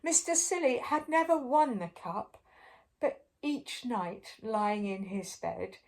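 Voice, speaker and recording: An older woman reading a picture book aloud to young children in a playful, expressive way, with chatty asides to the listener. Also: on the high side, takes it slowly. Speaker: storyteller.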